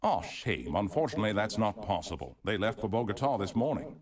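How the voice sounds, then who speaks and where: Slippery collector, gracious surface, animated pitch. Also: male voice, fairly quick, crowd noise. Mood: smooth. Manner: polite, evasive, lively pitch. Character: smooth, guarded male museum collector. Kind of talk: video game voice acting.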